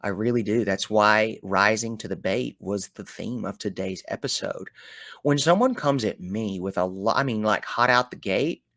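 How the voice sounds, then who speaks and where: A man with a Southern US accent talking through his thoughts in a reflective solo show, animated, with folksy turns of phrase and sudden loud bursts. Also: fairly low pitch, normal speed, creaky, dry recording. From American South. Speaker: podcast host.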